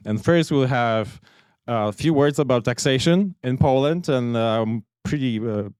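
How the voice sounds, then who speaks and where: A man's voice: medium pitch, a little slow, a little mellow, smooth, no room sound. A man moderating a business seminar, introducing the next speaker hesitantly with fillers.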